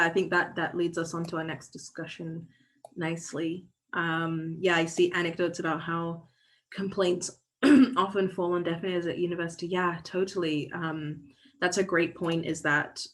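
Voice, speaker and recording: A woman facilitating an online workshop over a video call, speaking thoughtfully with many fillers and restarts. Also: lowish voice.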